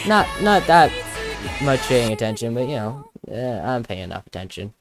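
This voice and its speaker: A woman's voice: very deep voice, very animated, moderate pace. A woman giving casual, playful gameplay commentary as a video creator, with jokey, mock-dramatic asides. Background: music.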